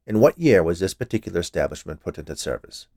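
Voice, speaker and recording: A fussy little male health inspector from an audiobook, acted out by a man. He speaks in a lowish voice, recorded close and dry. He is stern, officious and easily annoyed, giving curt answers. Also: sing-song, fast talker, creaky, a little mellow, dry recording, full character voice. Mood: curt, irritated. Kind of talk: audiobook character voice.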